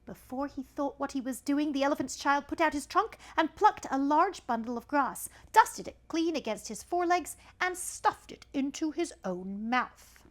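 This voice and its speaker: Lively, high-voiced woman reading a children's story. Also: moderate pace, hardly any pauses. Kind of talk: animated read-aloud with chatty asides to a child.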